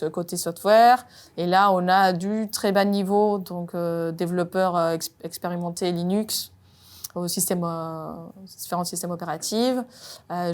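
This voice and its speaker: Woman, hesitant measured French. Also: lowish voice, a little mellow. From Colombia. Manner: measured, frequent "euh" hesitations. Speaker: robotics R&D engineer. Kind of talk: job interview-style monologue.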